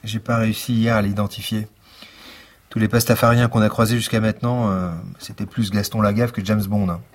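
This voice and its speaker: An actor playing a weary, sardonic police lieutenant with dry, deadpan delivery in a naturalistic fiction podcast. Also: male voice, fairly low pitch, fairly quick, natural voice. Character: weary sardonic male police lieutenant, coffee-fuelled pessimist.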